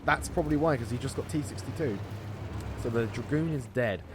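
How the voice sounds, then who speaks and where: A man's voice: medium pitch, fairly quick, background noise. A man giving running play-by-play commentary on his own gameplay in short bursts, as the host of a gaming video.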